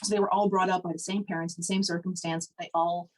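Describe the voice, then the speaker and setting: Chatty woman, low voice. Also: flat delivery, quick pace, low-quality recording. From Canada. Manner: chatty, frequent "like", laughs while telling stories. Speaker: podcast co-host. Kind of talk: casual conversation.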